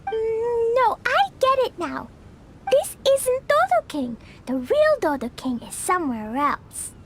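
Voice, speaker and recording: A woman voicing an energetic, innocent little girl in a video game, with a childlike, exclaiming delivery. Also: very high voice, takes it slowly, slight background noise, heavily acted. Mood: let down. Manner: childlike, exclaiming, stumbles over big words. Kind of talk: video game character acting. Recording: music behind the voice.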